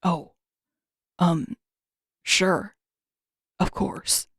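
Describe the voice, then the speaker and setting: A woman voicing a nervous, kind teenage boy in a fan fiction audiobook, soft-spoken and halting. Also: lowish voice, fairly even intonation, creaky, slightly rough, no echo, studio quality, exaggerated voice. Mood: hesitant, gentle.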